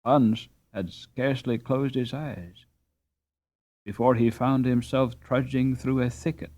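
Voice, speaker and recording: A man reading a classic children's novel aloud as a slow, expressive audiobook narrator. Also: medium pitch. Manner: slow, expressive, lively intonation. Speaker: audiobook reader. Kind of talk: scripted storybook narration.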